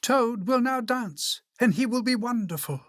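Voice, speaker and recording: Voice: mid-range voice, animated, a little slow, no room sound, studio quality, pitched above the performer's own voice, natural voice. A reader voicing a strange, grandiose stage announcer from a dream in a children's storybook, proclaiming pompously. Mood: grand, proclaiming.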